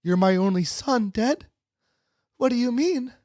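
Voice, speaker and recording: A man acts as a loving, worried mother in an amateur audio drama. The voice is pitched up, tender and pleading with concern. The sound is dry and close. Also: lively intonation, a little slow, darkish tone, no room sound. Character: anxious, tender mother of a grown son. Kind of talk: hobby audio drama acting.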